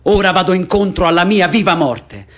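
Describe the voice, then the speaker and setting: A man's voice: high voice, lively intonation, brisk. A male actor playing a defiant condemned young woman in a theatrical radio play, declamatory and mocking. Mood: mournful, solemn. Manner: declamatory, mocking, swinging from hushed to impassioned.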